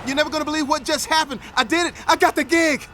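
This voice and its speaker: A man playing an eager, excitable music teacher in a children's storybook, animated and urgent. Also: very high voice, quick pace. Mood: elated.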